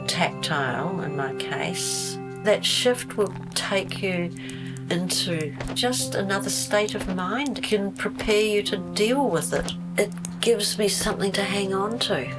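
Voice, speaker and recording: A woman's voice: lowish voice, low-quality recording. An older woman talking reflectively about her own craft and life, in the manner of a documentary interview. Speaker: knitter and yarn maker. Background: loud guitar music.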